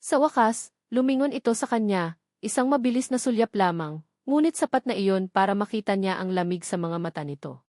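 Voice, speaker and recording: A woman's voice: medium pitch, animated, a little fast, a little mellow, no echo, studio quality. A woman with a Filipino accent giving a dramatic scripted narration of a romance story as an audiobook narrator.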